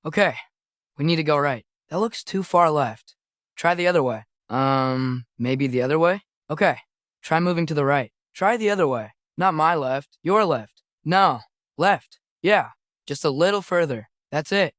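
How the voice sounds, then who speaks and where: A man's voice: fairly high pitch, expressive, measured pace, a bit bright, dry recording, studio quality. Earnest, curious teenage boy adventurer. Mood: helpful, unsure. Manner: tentative, eager, questioning. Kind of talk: naturalistic video game voice acting.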